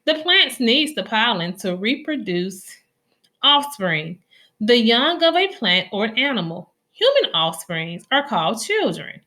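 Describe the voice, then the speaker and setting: A woman reading a children's nonfiction story aloud in a deliberate, instructional way for young listeners. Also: fairly high pitch, sing-song, pushed and bright.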